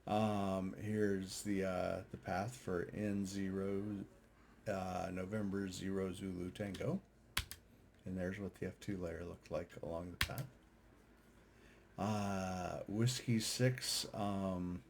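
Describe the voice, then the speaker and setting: A man's voice: low voice, darkish tone, a little hiss or hum. A man giving a casual, unscripted commentary over charts, hesitant and thinking aloud as he goes. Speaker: amateur radio operator and video host. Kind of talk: casual screen-share commentary on data charts.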